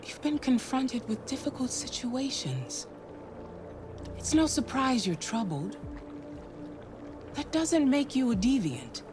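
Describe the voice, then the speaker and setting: A woman's voice: sits high, big pitch swings, slow, long pauses, over music, heavily acted. A woman playing a cool, controlling artificial intelligence handler in a video game drama, formal and probing. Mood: reassuring.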